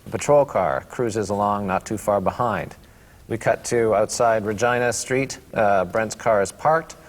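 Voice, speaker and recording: A man's voice: on the low side, measured pace. A man reads scripted stage directions as narrator at a table read. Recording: reverberant room, faint background noise.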